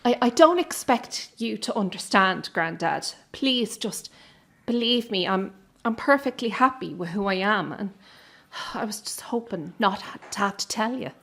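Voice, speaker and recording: A woman's voice: medium pitch, animated, unhurried, gravelly, fairly bright tone, full character voice. An actress playing a proud, direct young woman, a granddaughter with a mischievous streak, in a radio drama. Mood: nervous, earnest. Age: young adult. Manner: earnest, direct. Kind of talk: naturalistic radio drama acting.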